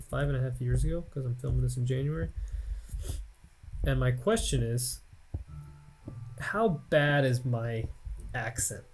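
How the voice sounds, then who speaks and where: A man's voice: mid-range voice, a little mellow, slight background noise, low-quality recording. An American man in his late twenties, rambling and self-mocking as he thinks aloud over gameplay commentary at his home computer. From United States. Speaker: Let's Play gamer.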